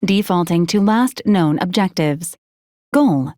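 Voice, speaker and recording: A woman voicing a polite, officious robot support unit in a video game, speaking in formal, clipped, deadpan announcements. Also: fairly low pitch, slow pace, dry recording, studio quality. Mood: polite, detached. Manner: formal, clipped, programmed announcements in complete phrases.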